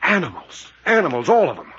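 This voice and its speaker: An actor playing a resolute American captain in a 1940s radio adventure, commanding and dramatic, with a raspy, widely swinging voice. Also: male voice, on the high side, huge pitch swings, a little fast, a bit bright, heavily acted. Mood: horrified, hushed. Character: resolute, quick-thinking captain leading an adventure team. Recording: muffled vintage 1940s radio recording.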